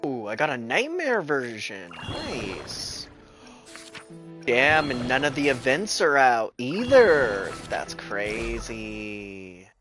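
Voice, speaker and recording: A man's voice: medium pitch, sing-song, slow pace, a bit bright. A man giving casual gameplay commentary as a streamer, half talking to himself with exclamations. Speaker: gaming streamer. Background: game music.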